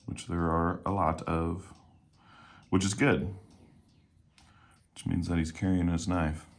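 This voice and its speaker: A man casually talking while taking apart and cleaning a knife at home, a little hoarse today, relaxed and rambling with plenty of fillers. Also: sits low, slow pace. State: slightly hoarse. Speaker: knife reviewer. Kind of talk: casual talk while working. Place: home room with windows.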